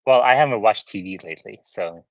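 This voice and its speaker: Host, man, late 30s, grew up in Turkey; lowish voice, dry close sound, curious and questioning. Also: lively intonation, brisk, creaky, dry recording, low-quality recording. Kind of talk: casual chat.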